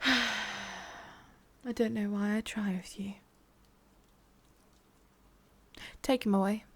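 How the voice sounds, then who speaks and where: A woman with an English accent playing a cruel, condescending female boss in an ASMR roleplay, murmuring with drawn-out pauses. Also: mid-range voice, fairly even intonation, slightly rough. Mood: casually menacing.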